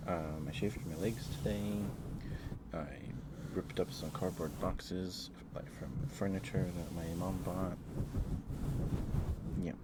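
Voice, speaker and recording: Low, slow-talking man streaming ASMR. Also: steady tone, heavy background noise. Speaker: ASMR livestreamer. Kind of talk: ASMR livestream, casual chat with viewers.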